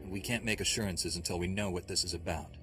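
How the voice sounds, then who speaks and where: Man playing a deep-voiced, stern Jedi soldier. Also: fairly even intonation, fast talker, natural voice. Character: stern, cold male Jedi Knight commando. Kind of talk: scripted video game acting. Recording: game music behind the voice.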